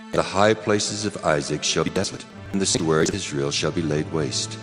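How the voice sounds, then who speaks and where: A man's voice: low voice, a little fast, lightly acted. A man playing God as a stern, commanding deity pronouncing judgment in a dramatized scripture reading. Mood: stern, solemn. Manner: solemn, commanding delivery. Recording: music behind the voice. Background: music.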